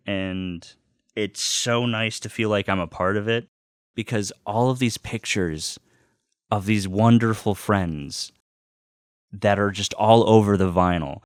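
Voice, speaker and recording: A man with a lowish voice talks casually to his viewers in a dry-sounding recording. He gushes with open enthusiasm, repeats phrases for emphasis and swears freely as he shows off something he loves. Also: no room sound. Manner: gushing, enthusiastic fan talk with repetition and swearing. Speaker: host of a personal video series. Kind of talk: casual unboxing chat.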